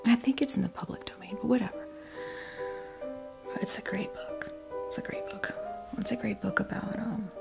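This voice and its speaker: A woman chatting in a breathy, creaky whisper for an ASMR unboxing video, rambling hesitantly through little asides as the host. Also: medium pitch. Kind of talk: ASMR, soft rambling chat. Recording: music playing behind the voice. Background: clear background music.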